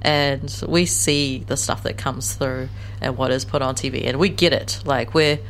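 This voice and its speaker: A woman's voice: extremely low pitch, fairly even intonation, unhurried, a little hiss or hum, low-quality recording. A woman, chatting animatedly as a guest in an interview, laughing often and saying "like" a lot. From New Zealand. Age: late 20s. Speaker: guest, co-creator of a series. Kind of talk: casual interview chat.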